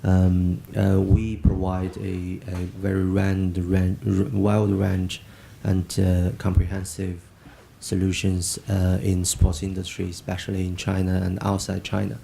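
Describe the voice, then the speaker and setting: A man panelist introducing his company slowly and hesitantly, stumbling over words. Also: low-pitched, monotone, creaky, mellow, a little hiss or hum. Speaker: panelist, sports company representative.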